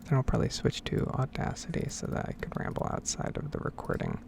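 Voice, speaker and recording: A man giving hesitant, rambling game commentary in a soft-spoken, whispered ASMR style. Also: fast talker, noisy background. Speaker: gaming video host.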